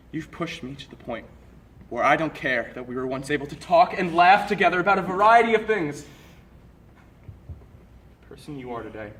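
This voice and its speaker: Bitter, bright-voiced young man, acted, far off. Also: mid-range voice, natural voice. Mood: bitter, reproachful. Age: young adult. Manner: accusatory, emphatic, with deliberate pauses. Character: bitter, reproachful young male college student confronting a former friend. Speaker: stage actor. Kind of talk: dramatic stage monologue. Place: indoor performance space, picked up from far off. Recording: roomy, distant live stage recording, faint noise.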